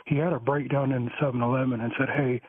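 A man rambles through a call-in, stumbling over restarts and fillers in a fairly flat delivery. His voice is a little husky and comes through a tinny phone line. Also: medium pitch. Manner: halting, many restarts and fillers. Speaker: caller.

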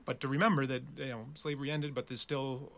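Gravelly-voiced man talking casually. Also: medium pitch, level tone, fast, fairly bright tone. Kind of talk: casual community talk, informal event announcements.

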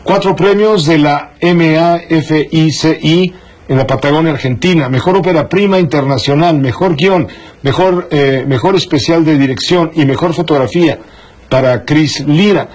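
Guy hosting an interview, kinda high husky voice, chatty, repeats words while he thinks. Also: unhurried, a little mellow. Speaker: host interviewing a guest. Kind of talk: broadcast interview, conversational.

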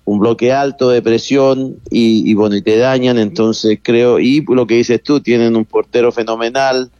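A man's voice: medium pitch, fairly even intonation, normal speed, warm tone, smooth, no room sound, highs cut off. A man serving as coach pundit who analyzes football with a hesitant delivery full of restarts. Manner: hesitant, many fillers and restarts. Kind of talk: sports analysis.

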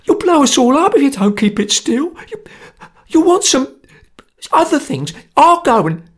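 Panicky stammering coward, squeaky and whining, swoops high and low, gravelly edge, as a reader puts him on. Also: male voice, sing-song, unhurried, heavily acted. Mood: panicked. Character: terrified cowardly male anarchist, whining.

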